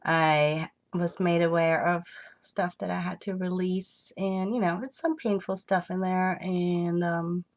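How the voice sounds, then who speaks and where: Slow, congested woman giving spiritual encouragement. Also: fairly low pitch, steady tone. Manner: slow, soothing, reassuring, with many fillers. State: congested from a cold. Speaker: spiritual reader. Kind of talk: casual spiritual vlog.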